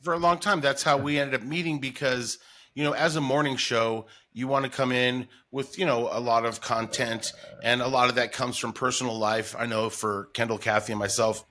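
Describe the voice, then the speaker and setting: American guy hosting a casual chat, really bright forceful voice, enthusiastic and kinda rambly. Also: mid-range voice, steady tone, normal speed. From Denver, United States. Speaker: podcast host, former morning radio voice talent.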